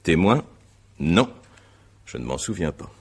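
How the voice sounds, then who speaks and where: A man playing an examining magistrate in a radio mystery, courteous but insistent, with formal, deliberate phrasing. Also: sits low, sing-song, darkish tone, breathy edge, full character voice. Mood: methodical, probing. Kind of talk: French radio mystery drama acting.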